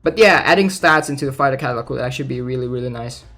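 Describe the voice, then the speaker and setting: A man's voice: medium pitch, rapid-fire, pushed and bright. A man giving casual commentary as he reads out game news, informal and thinking aloud. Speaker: gaming content creator. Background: background music.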